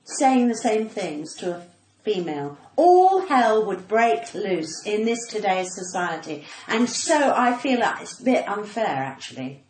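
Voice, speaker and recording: Animated posh Englishwoman, cheeky and flirtatious. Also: medium pitch, measured pace. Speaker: comedian and actor, podcast guest. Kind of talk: casual bantering chat. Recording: roomy echo, faint noise, clicks.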